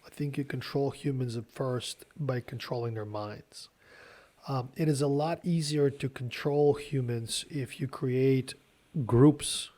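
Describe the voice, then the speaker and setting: A man's voice: medium pitch, warm tone. Man in his forties explaining. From Ukraine. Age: 40s. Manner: measured, explanatory, opinionated. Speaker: guest. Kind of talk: casual podcast interview.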